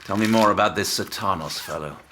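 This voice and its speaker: An actor playing a weary, sarcastic police detective with a dry, grumpy manner in an audio drama. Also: male voice, deep voice, brisk, pushed and bright, slight background noise. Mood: wry disbelief. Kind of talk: full-cast audio drama acting.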